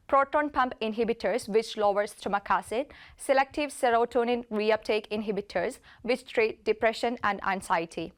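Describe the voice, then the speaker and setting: Young woman reading a medical presentation. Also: on the high side. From India. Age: 20s. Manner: reads steadily from slides, occasional slips.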